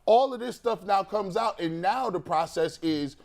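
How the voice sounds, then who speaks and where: A man's voice: high voice, lively intonation, normal speed, vocal fry, a little mellow. A man on a sports talk panel who repeats phrases for emphasis and acts out imagined quotes as he explains.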